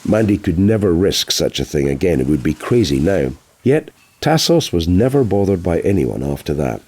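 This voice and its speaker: A man narrates a novel for an audiobook. His voice sits very low and has a husky, roughened grain, delivering scripted prose as a storyteller. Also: very animated, moderate pace, a little mellow.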